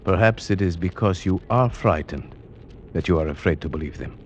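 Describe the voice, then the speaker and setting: An actor playing a brooding, philosophical Slavic gentleman in an old radio drama, measured and courtly. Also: deep voice, close to an everyday voice. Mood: grave, musing. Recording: muffled, noisy old radio recording.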